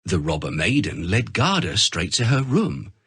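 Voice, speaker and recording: A man narrating a scripted children's story as an expressive storyteller. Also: fairly low pitch, moderate pace, slightly rough, no echo, studio quality.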